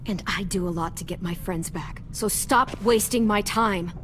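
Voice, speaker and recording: A woman's voice: medium pitch, normal speed, a bit bright, slight background noise, lightly acted. An actress playing a tough, determined teenage girl in a video game, speaking in a firm, blunt, controlled way. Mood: defiant, firm.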